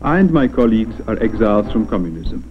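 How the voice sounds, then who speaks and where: A man's voice: fairly low pitch, sing-song, quick pace, highs cut off. A man from Poland reads scripted 1960s documentary narration as a newscaster, on an old film soundtrack. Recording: thin, band-limited old film soundtrack.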